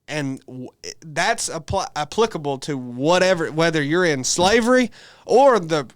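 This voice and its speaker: Smooth, higher-pitched American man. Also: animated, unhurried, no room sound, studio quality. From United States. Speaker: podcast host. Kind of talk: casual religious discussion.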